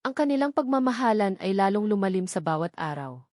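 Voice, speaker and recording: A woman's voice: mid-range voice, very animated, a little fast, darkish tone, no echo. Woman narrating in a dry studio sound. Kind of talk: scripted romance story narration.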